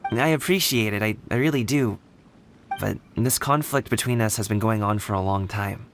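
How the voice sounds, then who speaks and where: A man's voice: on the low side, a little slow, long pauses, slight background noise. An actor playing an earnest young man at odds with his father, who sounds a little weary and sighs often. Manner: earnest, a bit weary, sighing. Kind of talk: game voice acting.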